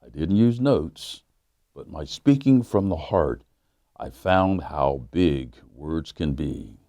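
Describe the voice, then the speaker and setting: A pastor with a very low, mellow male voice reads a children's book aloud. His storytelling is measured, and he slows down to lean on the key lines. The sound is dry and close, with no room around it. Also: animated, no echo.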